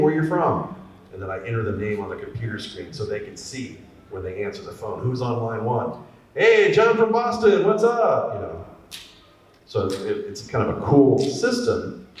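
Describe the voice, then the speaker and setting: A middle-aged man, a voice actor and former radio DJ, telling stories in a chatty, animated way at a convention panel. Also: mid-range voice. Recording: very echoey hall from far off.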